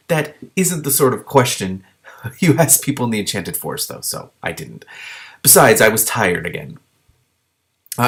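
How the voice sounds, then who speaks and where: Low-voiced man reading a story aloud. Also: fairly bright tone. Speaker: reader of a book with commentary. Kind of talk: reading a children's fantasy novel aloud with casual commentary.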